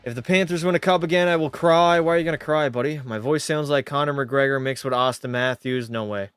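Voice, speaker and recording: A young man from Canada talks in loose, profane banter while streaming a hockey video game. His voice sits a bit on the high side, and he reads out viewers' messages between plays. Faint game music plays underneath him. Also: fairly quick, fairly bright tone, clear, resonant tone, dry recording. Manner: loose, profane banter, reading chat aloud. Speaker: gaming streamer. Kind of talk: casual live-stream commentary.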